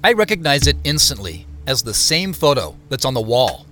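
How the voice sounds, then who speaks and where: A man's voice: medium pitch, sing-song, normal speed, a bit bright, slight background noise. A man reading scripted narration and credits for an audio drama. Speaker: narrator and producer. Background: music.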